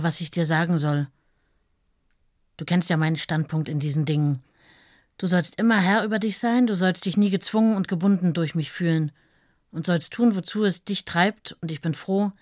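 An actress playing a devoted, self-sacrificing wife in a radio play, reading letters and memories in a plain, sincere, intimate way. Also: female voice, fairly low pitch, darkish tone, no echo, studio quality, close to an everyday voice.

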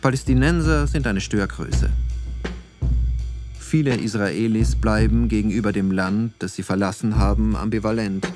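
A man performing as the sober narrator of a documentary radio play, reading in a measured, factual way over music. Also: on the low side, close to an everyday voice. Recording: studio voice over music bed. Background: clear music.

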